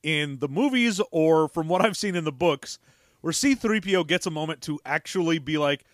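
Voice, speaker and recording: A man co-hosting a podcast throws in sarcastic wisecracks and quick jokes. His voice sits a bit higher than most men's. The recording is dry and close to the mic. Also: lively intonation, no room sound. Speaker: podcast co-host. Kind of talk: casual chat discussing a book.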